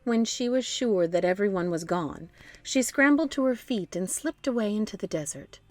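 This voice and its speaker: A woman narrating a children's storybook in an expressive, deliberate storytelling manner. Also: mid-range voice, soft background music.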